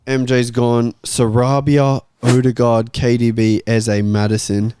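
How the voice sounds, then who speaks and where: A man's voice: mid-range voice, level tone, measured pace, noisy background. A man hosting a casual football fan panel, speaking in an informal conversational way. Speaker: host of a football fan talk show.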